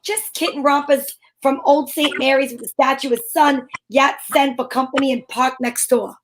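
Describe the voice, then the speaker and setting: Woman acting a wisecracking lady detective in an old radio play, high voice, slangy n wry, kinda loose read. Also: steady tone, normal speed, a little hiss or hum, lightly acted. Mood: wry storytelling. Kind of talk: amateur reenactment of old-time radio detective drama.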